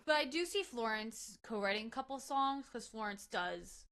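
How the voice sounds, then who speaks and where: Chatty young woman, slightly high voice. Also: lively intonation, moderate pace, fairly bright tone. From Connecticut. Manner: chatty, enthusiastic news-sharing. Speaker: podcast co-host. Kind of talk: casual chat.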